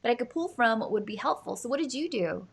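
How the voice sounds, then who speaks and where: A woman's voice: a little high, expressive, fast talker, gravelly, husky. A woman hosting a live online show, bubbly and exclaiming often, tired from little sleep. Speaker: host. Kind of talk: energetic live show chat. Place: home studio in San Diego.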